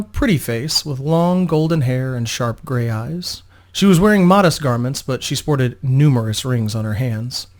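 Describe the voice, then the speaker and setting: A man narrating a scripted fantasy story in a dramatic reading, as an audiobook narrator. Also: medium pitch.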